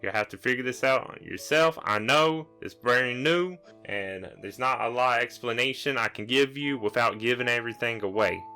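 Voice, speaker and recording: An easygoing monster trainer played by a man with a bright, forward voice. He rambles and hedges as he explains things in a tabletop fantasy game, with music underneath. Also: mid-range voice, expressive, normal speed, hardly any pauses, clear, resonant tone. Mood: casual, instructive. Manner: offhand, rambling, hesitant explanations. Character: casual, rambling Scrafty monster acting as training facilitator.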